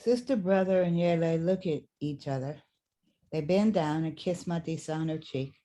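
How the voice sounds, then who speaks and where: A woman narrator with a fairly low voice reads out stage directions. It is scripted narration for a staged drama reading. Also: normal speed, dark tone.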